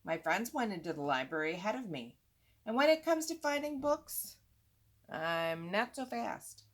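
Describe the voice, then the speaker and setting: Animated woman reading a children's storybook. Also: medium pitch. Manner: expressive, drawn-out emphasis on repeated words. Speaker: storytime reader, teacher-like host. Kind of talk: animated children's read-aloud with asides to young listeners.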